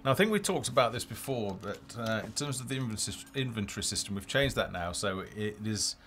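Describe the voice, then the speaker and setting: A man giving casual, low-key live commentary as a game developer, explaining features as he plays. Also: animated, a little fast, fairly bright tone, a little hiss or hum. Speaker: game developer presenting a livestream. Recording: music behind the voice.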